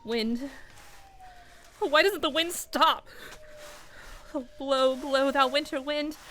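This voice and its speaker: A woman playing a frightened, protective young woman in a radio play, anxious, pleading and halting. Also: high voice, fairly bright tone, slight background noise. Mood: frantic searching.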